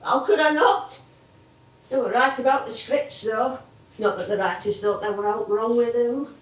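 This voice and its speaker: An actress playing an older English music-hall comedienne on stage, wistful and chatty, reminiscing in a rambling way. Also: female voice, on the high side, moderate pace, natural voice. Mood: wry, resigned. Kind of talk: theatrical stage monologue and dialogue. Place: theatre stage. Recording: echoey stage, distant microphone.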